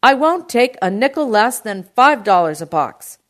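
A woman narrator plays a proud, stubborn farmer uncle from a short story. He is an excitable dreamer who speaks with great insistence. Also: on the low side, a little slow. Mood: indignant shouting. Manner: shouting indignantly over the phone.

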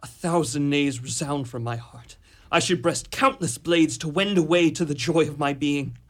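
A man's voice: a little high, normal speed, a bit bright. Man acting a lovesick, declamatory young romantic suitor. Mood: earnest devotion. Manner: declamatory, sighing, ornate archaic phrasing. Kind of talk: amateur radio drama in Shakespearean verse.

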